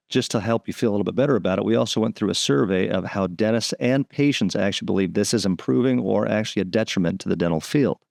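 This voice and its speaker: A man's voice: fairly low pitch, gravelly, darkish tone, no room sound, studio quality. A man hosting a dental podcast, upbeat and friendly in his introductions and questions. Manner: upbeat, friendly, self-deprecating. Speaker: podcast host.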